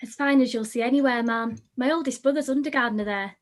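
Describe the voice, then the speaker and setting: A friendly young inn maid, voiced by an actress, speaks quickly and brightly. Her voice sits a little high. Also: close to an everyday voice.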